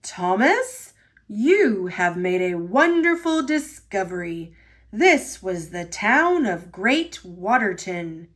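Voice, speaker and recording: A woman voicing a stately male railway boss in a children's storybook, measured, formal and authoritative. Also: mid-range voice, big pitch swings, a bit bright, pitched below the performer's own voice, exaggerated voice. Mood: solemn, proud.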